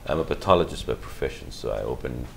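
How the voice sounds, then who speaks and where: A middle-aged man speaks in an extremely low, rough voice full of gravelly fry. He answers slowly and carefully as a guest, pausing on fillers and restarting his sentences. A faint hiss sits under the recording. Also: animated. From Pakistan. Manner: halting, measured, many fillers and restarts. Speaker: guest, physician. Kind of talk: interview answers.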